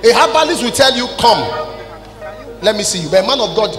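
A man's voice: extremely high pitch, big pitch swings, fairly quick, fairly bright tone. A Nigerian man in his forties preaching to a congregation in an emphatic, scolding way, in short declarative phrases. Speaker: preacher, apostle leading a church service. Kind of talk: sermon, addressing a congregation. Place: large church hall, on stage. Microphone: handheld microphone. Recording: echoey large hall PA, music behind.

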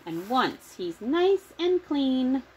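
A woman's voice: sits high, sing-song, takes it slowly, slightly rough, low-quality recording. A middle-aged woman reading a children's picture book aloud. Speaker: children's story reader.

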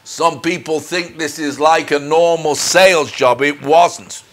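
A middle-aged man giving a personal testimony as a minister, speaking in an earnest, deliberate, measured way. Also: fairly high pitch, steady tone, fairly bright tone. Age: middle-aged or older. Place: indoors in front of a dark curtain. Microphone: lapel mic.

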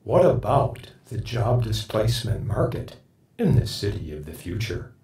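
Male sample voice, low and animated, in a roomy recording set back from the mic. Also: measured pace. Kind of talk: spoken sample sentence. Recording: roomy, distant sample clip.

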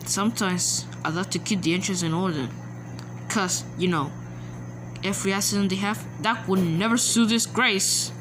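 A woman's voice: mid-range voice, fairly even intonation, moderate pace, lightly acted. A woman voicing a stern, dignified old male steam engine for a cartoon, in a lecturing manner. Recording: noisy home recording.

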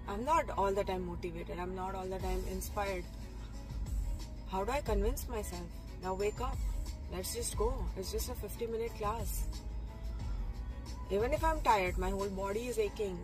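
A woman's voice: mid-range voice, low-quality recording. A middle-aged woman giving a reflective motivational talk from inside a car, with many restarts and repeated phrases. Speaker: vlogger. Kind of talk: motivational talk while driving. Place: inside a parked or moving car. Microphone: phone camera close, angled up from below.

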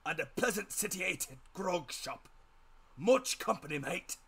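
A man voicing a rough, commanding old sea captain in a dramatic storybook reading, loud and slow, barking his words. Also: high voice, lively intonation, slight background noise, pitched above the performer's own voice, full character voice. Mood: gruff, appraising.

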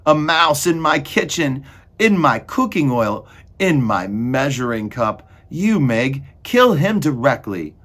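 A man voicing a bossy, mouse-hating female cook in a storybook, barking scolding orders in a husky shout. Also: deep voice, huge pitch swings, takes it slowly, exaggerated voice. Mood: angry, commanding.

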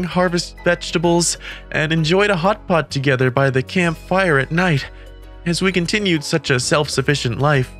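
A man's voice: on the high side, fairly bright tone, natural voice. A man playing an earnest, kindly teenage boy who narrates and speaks in an English-dubbed manga romcom. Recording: music behind the voice.

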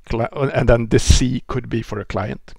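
A man in his forties with a Swedish accent, giving a relaxed technical lecture over a headset mic, often restarting and filling with "uh" and "you know". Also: medium pitch, measured pace, vocal fry, slightly rough, no room sound, clean studio sound. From Sweden. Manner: relaxed explaining, with frequent restarts and fillers. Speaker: presenter. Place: indoor room.

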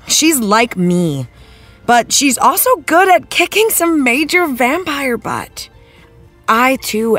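A woman's voice: fairly high pitch, fairly bright tone, full character voice. A woman playing an earnest, thoughtful TV actress in an interview, searching for her words in a conversational way. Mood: sincere, emphatic. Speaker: interviewed actress, after-show guest.

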